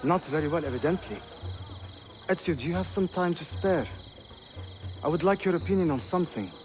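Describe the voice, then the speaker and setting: A man's voice: on the high side, animated, a little fast, darkish tone, natural voice. An actor playing a poised, self-assured teenage Ottoman prince in a video game, speaking. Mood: composed. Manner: polished, assured. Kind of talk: dramatic video game acting. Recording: background music under the voice.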